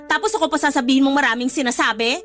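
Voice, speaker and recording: An actress playing an exasperated, scolding but concerned older sister in a radio drama. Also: female voice, high-pitched, racing, a bit bright, soft background music. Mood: exasperated, pleading. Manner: scolding, emphatic. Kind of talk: Filipino radio drama dialogue.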